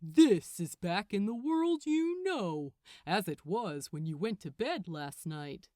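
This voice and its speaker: A woman's voice: medium pitch, very animated, slow pace, dark tone, no echo, clean studio sound. A woman voicing a smug male archangel in an audiobook, condescending and falsely friendly as he lectures. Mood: lecturing, condescending.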